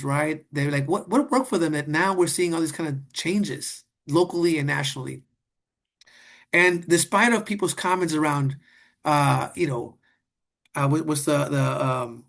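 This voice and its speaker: A man's voice: a little high, creaky, a bit bright. A man moderating a panel discussion, talking casually and earnestly in a rambling way, often checking for agreement. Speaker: webinar moderator.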